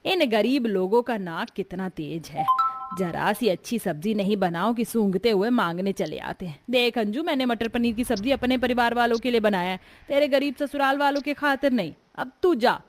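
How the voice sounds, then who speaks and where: A woman's voice: a little high, moderate pace, close to an everyday voice. A woman voicing a stingy, disdainful neighbour in a story, haughty and dismissive. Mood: scornful.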